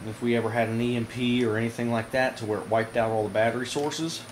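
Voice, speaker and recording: A man giving a casual hands-on product demonstration to viewers, informal and halting, with frequent pauses. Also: mid-range voice, steady tone. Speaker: product reviewer, video host. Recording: noisy amateur camera audio.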